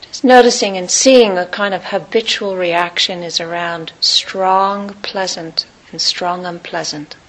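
A woman teaching meditation, guiding listeners in short, unhurried phrases with long pauses between them. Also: on the low side, steady tone, a bit bright, low-quality recording. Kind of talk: guided meditation.

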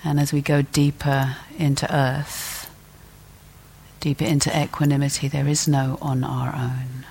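A woman teacher leading a guided meditation in a gentle, deliberate way, leaving long pauses between phrases. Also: very deep voice, level tone, gravelly, breathy edge.

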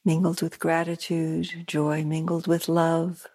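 A woman meditation teacher leading a guided meditation at a retreat center, soft-spoken, with long pauses and gently repeated phrases. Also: low-pitched, monotone.

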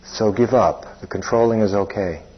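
A man with a deep, husky voice guides a meditation. He speaks in short, plain phrases and leaves long pauses between them. The recording is dull and muffled. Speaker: meditation teacher. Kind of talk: guided meditation instruction, spoken live.

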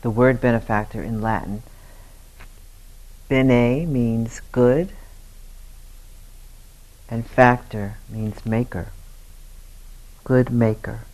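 Man gently guiding a meditation. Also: mid-range voice, fairly even intonation, rough recording. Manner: unhurried, gentle, with long pauses between short phrases. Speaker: meditation teacher. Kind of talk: guided meditation.

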